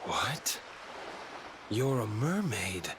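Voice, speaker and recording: A reserved young fisherman from a game, with a lowish voice and slow, blunt, short phrases. He sounds downcast, with clear noise of waves behind him. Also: very animated, full character voice. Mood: guarded, puzzled. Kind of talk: video game voice acting.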